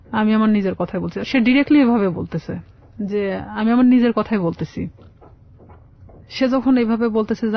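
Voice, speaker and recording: A woman's voice: fairly high pitch, vocal fry, dry recording. A woman telling her personal story conversationally as a radio guest, often quoting both sides of conversations. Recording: slightly dull lo-fi radio audio.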